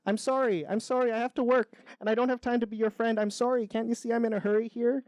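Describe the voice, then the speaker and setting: A man's voice: extremely high pitch, fairly even intonation, darkish tone, dry recording, pitched above the performer's own voice. A man voicing a harried, testy but apologetic working beaver with a Canadian accent in a storybook. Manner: hurried, exasperated.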